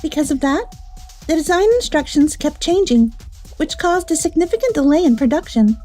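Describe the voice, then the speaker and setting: A woman's voice: sits high, expressive, moderate pace, mellow. A woman playing a composed, firm office investigator in a manga dub, formal and matter-of-fact as she accuses. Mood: formal, critical. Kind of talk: anime-style manga dub dialogue. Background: background music.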